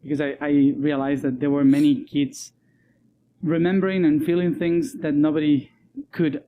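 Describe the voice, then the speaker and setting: Soft-spoken man. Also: fairly high pitch, flat delivery, slight background noise, poor audio. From Argentina. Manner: soft-spoken, laughs often, many um and uh pauses. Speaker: guest. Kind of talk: casual interview talk.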